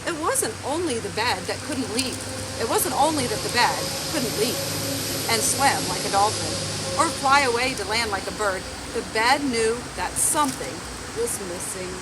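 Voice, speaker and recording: A woman around forty reading a picture book aloud in a measured, expressive storytelling way. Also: on the high side. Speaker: library storytime reader. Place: outdoors on a garden bench. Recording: outdoor background noise with insects.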